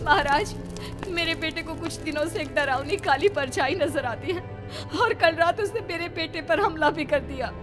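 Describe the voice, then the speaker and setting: A woman's voice: sits high, normal speed, over music, pitched above the performer's own voice, close to an everyday voice. A woman voicing an anxious, protective mother in a melodramatic horror cartoon, speaking with urgent emotion. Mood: desperate pleading.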